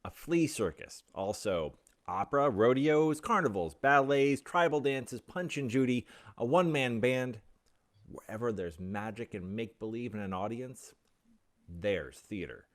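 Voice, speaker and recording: An actor playing a cocky, impatient, opinionated theater director in a radio play. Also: male voice, mid-range voice, animated, close to an everyday voice. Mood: impassioned lecturing. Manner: brisk, opinionated, teasing.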